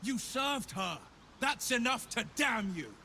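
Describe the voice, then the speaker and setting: An actor playing a righteous, theatrical monster-hunting hero in a video game, formal and declamatory. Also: male voice, extremely high pitch, animated, slow, creaky, pushed and bright, a little hiss or hum, exaggerated voice. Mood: indignant, forceful. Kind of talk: video game character acting.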